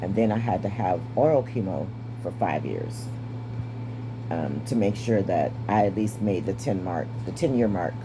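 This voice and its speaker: A woman in her fifties with a raspy, strained voice and short breath, giving a candid personal update. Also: rumbling low, animated, moderate pace, background noise. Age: 50s. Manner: candid, wry, stays positive. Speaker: vlogger. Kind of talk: casual personal update to camera.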